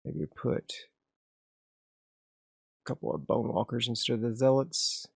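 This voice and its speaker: A man's voice: mid-range voice, measured pace, gravelly, slightly rough, no echo, clean studio sound. A man playing a solo tabletop game, casually narrating and thinking aloud as he sets up his next area. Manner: relaxed, rambling, frequent restarts.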